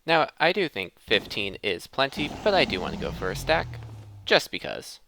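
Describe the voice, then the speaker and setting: Male gaming host, gravelly fry, lively swooping intonation, casual think-aloud commentary, faint background noise. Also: mid-range voice, normal speed. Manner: thinks aloud, addresses viewers as friends. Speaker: host of a gaming let's play series.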